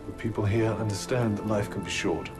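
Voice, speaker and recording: An actor playing a haughty, articulate companion in a video game, speaking in a formal, sardonic and theatrical manner. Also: male voice, on the low side, fairly even intonation, brisk, over music, natural voice. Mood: solemn. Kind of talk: video game cutscene acting.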